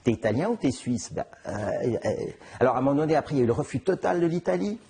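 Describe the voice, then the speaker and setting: A middle-aged man from Switzerland, answering interview questions in a halting way, with many restarts and fillers. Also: on the high side, lively intonation, gravelly, mellow, husky. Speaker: interviewed author. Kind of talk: conversational interview.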